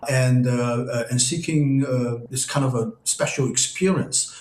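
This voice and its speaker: A male professor from China speaks in a hesitant, halting way, restarting sentences and filling pauses. His voice sits at a middling pitch. The remote link sounds roomy and echoey. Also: darkish tone, a little hiss or hum. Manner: hesitant, many fillers and restarts. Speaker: economics professor guest. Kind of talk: live news interview, unscripted.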